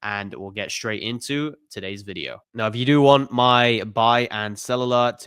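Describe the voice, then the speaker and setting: Guy doing a crypto chart rundown, sick with the flu, chatty, smooth voice, dry close sound. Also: on the low side, fairly bright tone, no echo. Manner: conversational, filler-heavy, "you know" and "okay?" tags. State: has the flu, voice a bit off. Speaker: crypto channel host. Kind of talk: casual chart analysis monologue.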